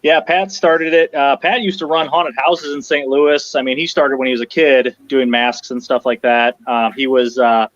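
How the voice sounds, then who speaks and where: A man who designs props, guesting on a casual interview over a video call and telling stories in a relaxed way. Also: mid-range voice, moderate pace, few pauses, dry recording, highs cut off. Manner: relaxed storytelling, laughing easily.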